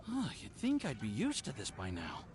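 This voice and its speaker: A man's voice: on the low side, normal speed, noisy background. A man voicing an amiable, nervous young alchemist in a video game, sheepish and easily flustered. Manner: sheepish, stammering, animated.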